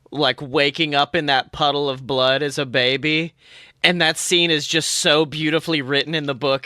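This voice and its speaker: Man, higher voice, very bright tone, casual sweary podcast chat, animated. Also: clear, resonant tone, no echo, clean studio sound. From United States. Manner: animated, profane, slangy. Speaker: podcast co-host. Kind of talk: casual pop-culture chat.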